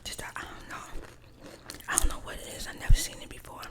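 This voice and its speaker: Middle aged lady whispering a story asmr style, breathy, super casual and chatty wording. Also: fast.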